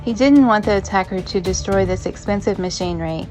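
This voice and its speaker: A woman's voice: mid-range voice, a little fast, darkish tone. A woman reading scripted dramatic narration as the narrator of an audio drama. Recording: music behind the narration. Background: background music.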